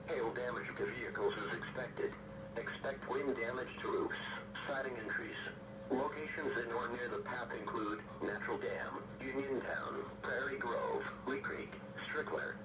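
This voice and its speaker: A synthetic male text-to-speech voice reads scripted emergency weather warnings as an automated radio announcer, formal, impersonal and stiffly measured. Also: mid-range voice. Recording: noisy, staticky weather radio broadcast.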